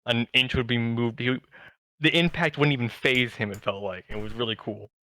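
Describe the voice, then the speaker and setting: A man's voice: mid-range voice, fairly even intonation, moderate pace, sharp tone, no room sound. A young American man co-hosting a podcast, chatting casually with friends. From United States. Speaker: podcast co-host. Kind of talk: casual chat among friends.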